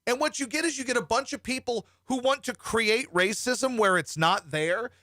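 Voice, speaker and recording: Male talk host, bright and forceful, blunt and profane opinions, dry studio mic. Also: very high voice, normal speed, no echo. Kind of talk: opinionated show banter.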